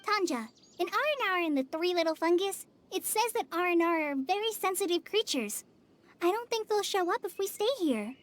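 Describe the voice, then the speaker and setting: A woman playing a shy, anxious young female forest ranger who speaks haltingly in a video game. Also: very high-pitched, expressive. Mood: hesitant. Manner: hesitant, halting. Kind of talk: video game cutscene acting.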